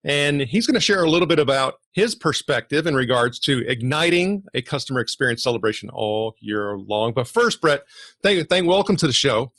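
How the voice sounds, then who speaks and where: A man's voice: mid-range voice, lively intonation, normal speed, a bit bright, dry recording, studio quality. A man hosting a podcast who talks in a loose, easygoing way and leans on "you know" a lot. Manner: filler-heavy, loose phrasing with "you know". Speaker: podcast host. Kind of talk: scripted intro then casual interview chat.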